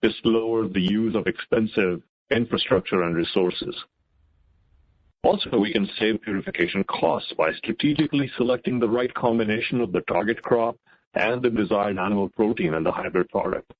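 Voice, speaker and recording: A man's voice: lowish voice, moderate pace, no room sound. A middle-aged man presenting as a chief science officer, reading scripted remarks on an investor conference call. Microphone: conference call line. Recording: slightly dull conference-call audio.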